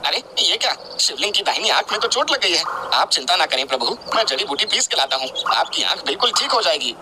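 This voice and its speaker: A man's voice: high voice, sing-song, fast, background noise. A man voicing a simple, devoted hunter who earnestly thinks aloud and questions himself in a devotional story. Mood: worried.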